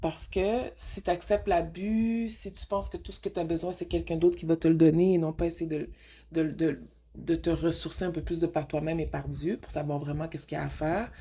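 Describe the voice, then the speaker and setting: A woman with a Québécois accent talks frankly in a loose, rambling monologue, hopping between French and English in the middle of her sentences. Her voice sits low and carries a husky, breathy edge. She pushes her points with rhetorical questions. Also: steady tone, moderate pace, dark tone. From Quebec. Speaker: radio show host. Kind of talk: casual monologue.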